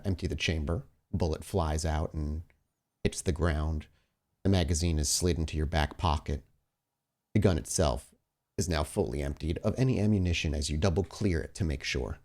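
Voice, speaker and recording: A man with a low voice runs a tabletop roleplaying game. He mixes improvised, descriptive scene-setting with casual table talk, and he addresses his player directly in a conversational way. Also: moderate pace, a little mellow.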